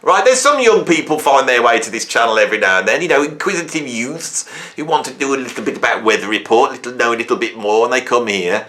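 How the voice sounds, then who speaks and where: Bright-voiced man in his fifties. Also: medium pitch, expressive, fast. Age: 50s. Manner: rambling, self-questioning, adds "right?" to many points. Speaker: YouTube music channel host. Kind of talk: reflective solo talk to camera.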